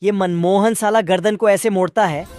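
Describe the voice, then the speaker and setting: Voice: mid-range voice, fairly even intonation, normal speed, loud music behind the voice. A narrator telling comic scripted stories over cartoon clips in a crude, slangy way.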